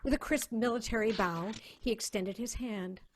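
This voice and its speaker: A woman's voice: a little high, moderate pace, slight background noise. A woman reading scripted story narration in a dramatic style, as the narrator.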